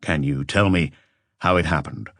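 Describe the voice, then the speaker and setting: A man's voice: extremely low pitch, moderate pace, creaky, a bit bright, dry recording, studio quality, exaggerated voice. A man voicing a patient French police inspector who asks terse, probing questions in an audiobook. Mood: probing, calm. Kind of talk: audiobook character dialogue.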